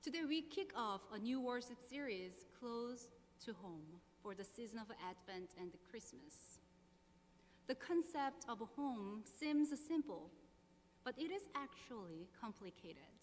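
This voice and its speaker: A woman's voice: on the high side, lively intonation. Korean-accented woman pastor in echoing hall. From South Korea. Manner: measured, earnest, slow deliberate phrasing. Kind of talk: sermon with prayer and scripture reading. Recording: long hall reverb, faint hum.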